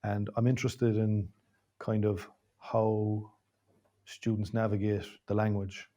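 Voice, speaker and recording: A man's voice: on the low side, flat delivery, slow, warm tone. An Irish man hosting an interview, probing and rephrasing his questions as he goes. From Ireland. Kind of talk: conversational interview.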